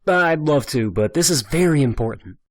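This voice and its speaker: A man voicing a headstrong, incredulous adult son and reluctant hero with a sardonic manner in an animated superhero drama. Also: a little high, normal speed. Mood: earnest.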